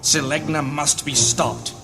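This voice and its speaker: A man's voice: fairly high pitch, steady tone, a little slow, close to an everyday voice. An actor playing a grave, fatalistic male mage in a fantasy audio drama, with solemn and formal phrasing. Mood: grave, resigned. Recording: music behind the voice.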